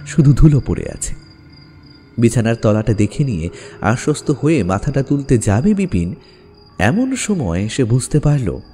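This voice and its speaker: A man narrating a scripted horror story dramatically, with suspenseful pauses. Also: medium pitch, mellow.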